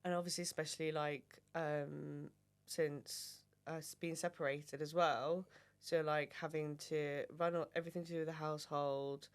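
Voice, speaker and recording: A woman's voice: low-pitched, level tone, moderate pace, clean tone. A woman, a guest on a casual podcast chat, explaining at length in a thoughtful way with many fillers. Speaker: guest, family member.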